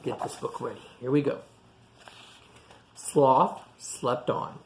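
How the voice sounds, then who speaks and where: A man's voice: mid-range voice, fairly even intonation, takes it slowly, slight background noise. Echoey storytime man reading to children. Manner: child-directed, simple explanatory asides and questions. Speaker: storytime reader for young children. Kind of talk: read-aloud picture book with asides to children. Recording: echoey room sound.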